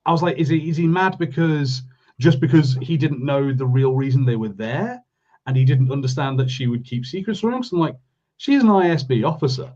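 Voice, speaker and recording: A man's voice: fairly high pitch, fairly quick, a little mellow, dull audio. A man co-hosting a podcast, talking through a review casually in a rambling, questioning way. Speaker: podcast co-host.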